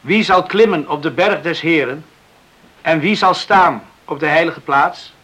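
An actor playing a plain, devout, earnest young farmhand in a radio drama. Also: male voice, fairly high pitch, a little slow, fairly bright tone, slightly rough, rough recording. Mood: reverent.

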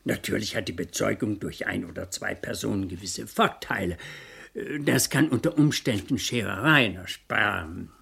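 An actor playing an elderly, pedantic Scottish solicitor in a radio play, speaking slowly and precisely with dry hesitations and a husky voice. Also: male voice, fairly low pitch, pushed and bright, exaggerated voice. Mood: dry, explanatory. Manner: slow, precise, hesitant murmurs. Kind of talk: German radio play acting.

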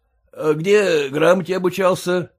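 A man's voice: sits high, steady tone, unhurried, voice pushed up. A man voicing a stern, bossy male merchant who questions his clerks curtly, in a story reading. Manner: brusque, commanding.

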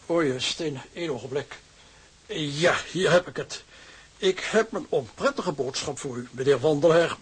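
Radio drama clerk: pedantic, bureaucratic, slightly hesitant, high husky voice. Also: male voice, lively intonation, moderate pace. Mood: officious. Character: fussy, pedantic male bookkeeping clerk. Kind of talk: Dutch radio drama acting.

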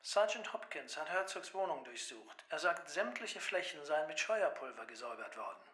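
An actor playing an official police interrogator in a radio drama, putting formal, pressing questions. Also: male voice, on the high side, big pitch swings, normal speed, a bit bright. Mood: probing.

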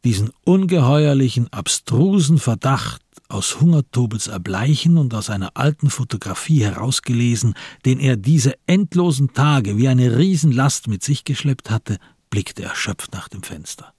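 A man's voice: mid-range voice, lively intonation, normal speed, hardly any pauses, darkish tone, husky, dry recording, clean studio sound. A man narrating a radio crime drama in scripted, literary prose.

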